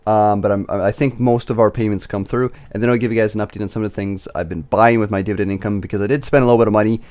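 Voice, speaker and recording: Fast-talking low-voiced man, slightly raspy. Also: fairly even intonation, a little mellow. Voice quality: slightly raspy, recovering from illness. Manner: chatty, filler-heavy, self-correcting. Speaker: dividend investing channel host. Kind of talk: casual screen-share commentary.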